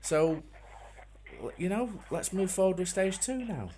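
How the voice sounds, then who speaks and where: A man making a prank call as a customer, speaking in a deadpan, mock-earnest way with hesitant, repeated phrases. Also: high-pitched, a little slow, a little hiss or hum. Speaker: prank caller.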